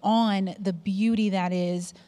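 A woman's voice: medium pitch, steady tone, slow, mellow. A woman with a mid-range voice talks on a panel. She speaks as a company head and podcast host. Her manner is thoughtful and reflective. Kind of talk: panel discussion.

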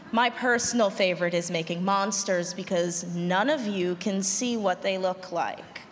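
Woman panelist in an echoey hall. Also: mid-range voice. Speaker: panelist, audio drama writer and performer. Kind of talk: unscripted panel talk. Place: large panel room at a convention. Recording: echoey hall, noisy, roomy pickup.

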